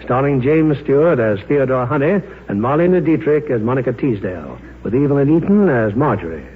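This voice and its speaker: A man's voice: medium pitch, expressive, a little fast, over music. A man hosting a radio theater with scripted 1950s presentation. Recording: tinny old radio broadcast.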